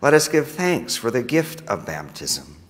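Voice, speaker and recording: An American man speaking as a pastor leading worship, reading liturgy to a congregation in a measured, solemn manner. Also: medium pitch, sing-song. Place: church sanctuary during a service. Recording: reverberant church hall.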